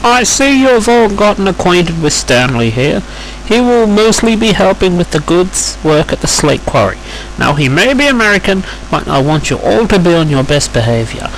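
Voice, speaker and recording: A man's voice: sits high, very animated, normal speed, fairly bright tone, slight background noise, pitched above the performer's own voice, full character voice, poor audio. A man voicing a stern, fussy thin railway controller in a children's train story, speaking firmly and emphatically. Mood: brisk, polite. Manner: firm, officious, emphatic.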